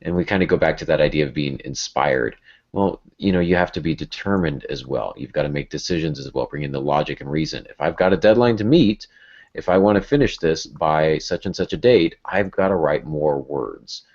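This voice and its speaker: Very deep-voiced man hosting. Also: a little fast, darkish tone. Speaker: podcast host. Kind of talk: casual, reflective podcast discussion. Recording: dull, lo-fi podcast audio.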